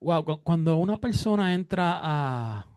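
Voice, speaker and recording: Higher-pitched man hosting, close dry sound. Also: lively intonation, measured pace, darkish tone, clear, resonant tone, no room sound. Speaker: interview host. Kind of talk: conversational religious interview.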